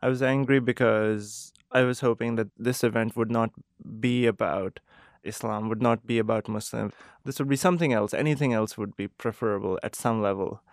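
A young man with a Pakistani accent, answering radio interview questions in a thoughtful, measured and earnest way. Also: fairly low pitch, steady tone, no echo, clean studio sound. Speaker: interview guest, medical resident.

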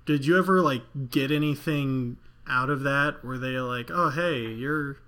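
A man's voice: medium pitch, measured pace, bright, forceful tone. A man hosting an interview, asking eager, enthusiastic questions. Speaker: interview host. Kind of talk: casual interview chat.

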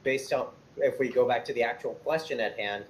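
A man's voice: mid-range voice, fairly quick, poor audio. A man hosting a casual group podcast, rambling and restarting his sentences as he reads out listener questions. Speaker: podcast host.

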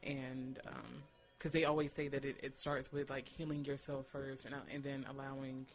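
A man's voice: mid-range voice, monotone, moderate pace, soft background music. A man speaking earnestly and reflectively in conversation, feeling out his phrasing with frequent filler phrases. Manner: hesitant, thoughtful phrasing with frequent "you know". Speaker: doula and discussion participant.

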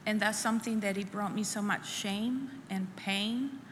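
A middle-aged woman from El Salvador, sharing her personal testimony at a church women's conference in an earnest, halting way, often repeating phrases. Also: mid-range voice. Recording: echoey hall with faint room noise.

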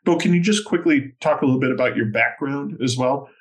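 Male interviewer, creaky low-end fry, conversational business-talk delivery. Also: brisk. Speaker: interviewer, host.

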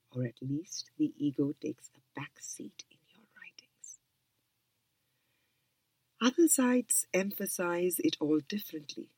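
A woman reading a spiritual book aloud in a soft, calm whisper. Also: low voice, slightly rough, no echo, low-quality recording. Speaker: reader.

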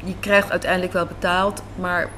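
A woman with a fairly low voice answers in a conversational, reflective interview style. Clear café background noise sits behind her as she speaks. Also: flat delivery, moderate pace, a bit bright. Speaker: guest, radio drama writer and director. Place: indoors in a café.

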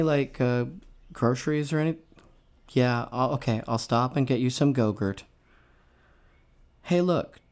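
A woman's voice: low voice, mellow. An actress playing a wry, self-doubting middle-aged woman songwriter in a naturalistic comedy radio play, rambling and hesitant. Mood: hesitant, awkward.

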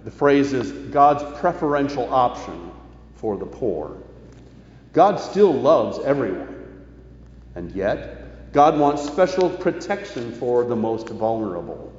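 A man preaching a sermon as a pastor, measured and leaning with emphasis on key phrases. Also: mid-range voice, big pitch swings, a little mellow. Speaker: pastor preaching to his congregation. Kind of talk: sermon, reading and explaining scripture. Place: large reverberant indoor worship space. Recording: echoey church hall, muffled, faint noise.

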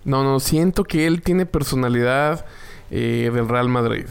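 A man, co-hosting a casual sports chat in an animated, joking way. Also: medium pitch, a little slow, vocal fry, smooth. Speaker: co-host.

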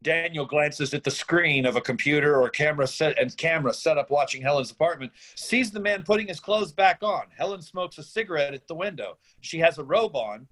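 A man's voice: fairly high pitch, animated, fairly quick, few pauses, a bit bright. A man reading out stage directions and scene recaps as scripted narration for a screenplay reading.

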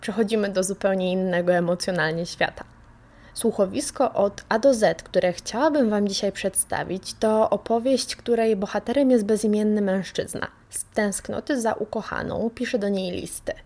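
A woman's voice: mid-range voice, normal speed, a little mellow. A woman hosting a radio programme, introducing things in a conversational way. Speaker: radio host and audio drama maker. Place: at home, near a balcony.

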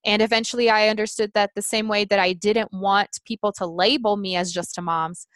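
A woman's voice: medium pitch, steady tone, fairly quick, a bit bright, no room sound. A woman in her thirties whose first language is Portuguese, a life coach talking earnestly as an interview guest, thinking aloud with restarts and frequent "you know"s. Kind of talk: casual interview chat.

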